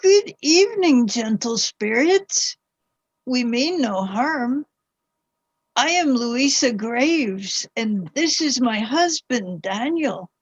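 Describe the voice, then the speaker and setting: A woman playing a genteel, curious wife from the early 1800s. Her voice is fairly high and breathy, and she speaks slowly and formally in radio play style. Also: expressive, no echo, muffled recording, full character voice. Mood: polite, curious. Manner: formal, old-fashioned, genteel.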